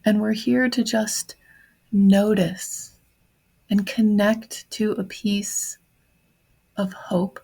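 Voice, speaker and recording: A woman guides a reflective meditation at a very leisurely pace. Her voice is soft and husky, often sinking into creak. She leaves long pauses between gentle, short phrases. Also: mid-range voice, fairly even intonation, rough recording.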